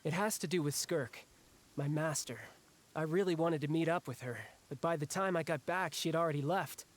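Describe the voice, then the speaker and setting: An actor playing a breezy, cocky young warrior in an anime video game, with a casual, boyish, wry manner. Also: male voice, a little high, normal speed, slight background noise, close to an everyday voice. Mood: determined. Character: breezy, cocky young warrior man, restless and battle-hungry.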